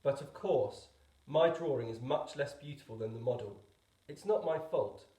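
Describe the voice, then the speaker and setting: A man's voice: mid-range voice, normal speed, warm tone. Echoey man reading a storybook. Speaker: teacher reading a book aloud. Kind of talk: storybook narration.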